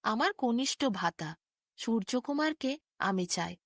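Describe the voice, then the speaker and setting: A righteous young storybook prince, voiced by a woman, calm and wise. The speech is earnest and measured, fairly high and very dry. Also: no echo. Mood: earnest, pleading. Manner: earnest, measured moral counsel.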